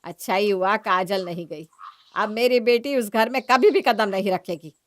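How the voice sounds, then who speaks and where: A woman's voice: mid-range voice, normal speed, no room sound, close to an everyday voice. A woman acts a middle-aged mother from a cartoon moral tale. Her voice is mid-range and matronly. She speaks firmly and protectively about her family. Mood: indignant. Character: middle-aged mother-in-law, firm, protective, scheming gently. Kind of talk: Hindi moral-story cartoon acting.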